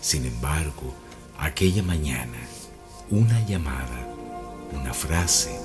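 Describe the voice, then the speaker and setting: An actor narrating a radio mystery drama as a suspenseful storyteller with deliberate pauses. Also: male voice, a bass voice, expressive, heavily acted. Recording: faint music behind the voice.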